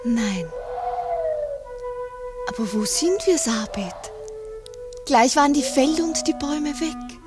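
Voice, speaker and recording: A woman's voice: high-pitched, expressive, takes it slowly, pauses often. An actress playing a trusting young girl in a 1960s radio play, speaking with dreamy wonder. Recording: 1960s mono radio recording with music.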